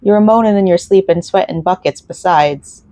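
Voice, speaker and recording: A woman's voice: fairly low pitch, normal speed, dark tone, no room sound, lightly acted. Woman reading a chatty friendly sailor. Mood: reading aloud. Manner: reads game dialogue aloud plainly, no accent attempted.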